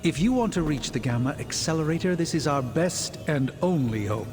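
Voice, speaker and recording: A man playing a learned, self-assured sorcerer doctor in a video game cutscene, speaking in formal, precise, deliberate phrases. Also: fairly high pitch, lively intonation, close to an everyday voice. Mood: explaining, grave. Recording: loud game music behind.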